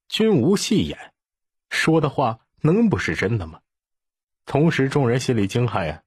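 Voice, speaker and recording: A man's voice: mid-range voice, a little mellow, husky, no room sound, studio quality. A man reading an audiobook as narrator in a scripted, dramatic storytelling style.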